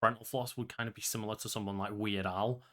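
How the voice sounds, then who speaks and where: A man's voice: fairly low pitch, fairly even intonation, fast talker, fairly bright tone. A man chatting casually and conversationally as a podcast co-host. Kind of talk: casual chat.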